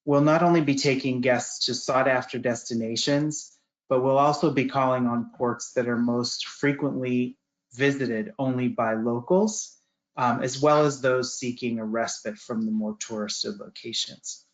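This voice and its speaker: Guy doing a prepared travel promo pitch, mid voice, polished and salesy. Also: fairly even intonation, a little slow, rough recording.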